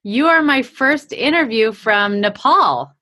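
A woman's voice: a little high, animated, takes it slowly, bright, forceful tone. A woman speaking as a podcast host in a casual, chatty interview style.

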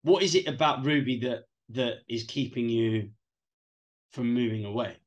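A man's voice: mid-range voice, normal speed, dull audio. Podcast host man asking questions. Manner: hesitant questions with fillers. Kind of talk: casual interview questions.

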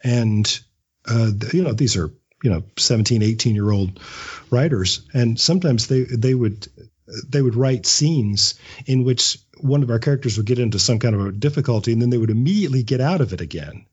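A man's voice: medium pitch, a little fast, slightly rough, muffled recording. A man giving casual, reflective interview answers as a guest writer, often repeating words as he thinks. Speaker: podcast guest, audio drama writer; script writing teacher.

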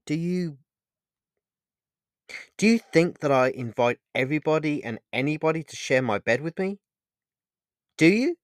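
A man's voice: medium pitch, lively intonation, no room sound, close to an everyday voice. A man performs an intense, charming male lover from a romance story. He chooses formal words and halts to repeat them with feeling. The sound is dry, with no room on it. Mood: angry, hurt. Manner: halting, repeats phrases, formal wording. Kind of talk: dramatised romance audiobook dialogue.

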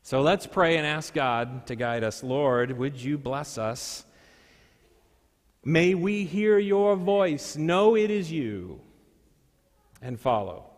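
A male pastor with a high voice preaches in a large church hall with long reverb. He moves between scripture and storytelling, using deliberate pauses and dramatic emphasis. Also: animated. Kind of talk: sermon with scripture reading and storytelling.

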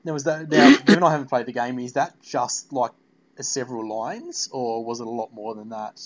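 A man's voice: medium pitch, moderate pace, lo-fi sound. A man hosting a casual fan interview, putting loosely phrased, rambling questions to a guest. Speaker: interviewer, podcast host.

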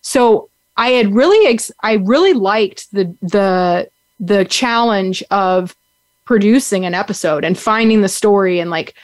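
A woman's voice: medium pitch, expressive, measured pace, dry recording. Chatty young woman host, dry sound. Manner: rambling, upbeat, many fillers like "like" and "um". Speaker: podcast host. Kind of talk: casual chat recap. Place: sitting on the ground.

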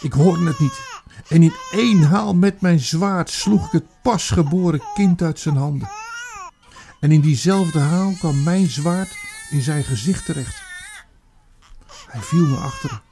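An actor playing a haunted old Roman army commander looking back, in a halting, theatrical radio play monologue. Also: male voice, a little high, animated, dark tone, a little hiss or hum. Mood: anguished, tearful. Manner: theatrical, halting, reflective, with emphatic repeated words.

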